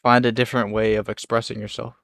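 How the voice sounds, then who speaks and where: A man's voice: medium pitch, little pitch movement, fast talker, creaky, fairly bright tone, dry recording, studio quality. A young man chatting casually and easily with a friend, laughing often. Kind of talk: casual chat.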